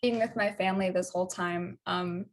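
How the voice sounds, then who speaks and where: A woman's voice: lowish voice, steady tone, normal speed, natural voice. A woman playing a reflective character who chats casually in a documentary play. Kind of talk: casual documentary theater monologue.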